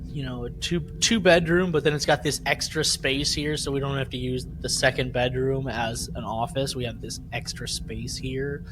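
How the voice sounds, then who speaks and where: A man's voice: medium pitch, fairly even intonation, moderate pace, few pauses, background noise. A man chatting casually as a podcast panelist, telling personal stories in a rambling, conversational way. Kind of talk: casual chat, personal storytelling.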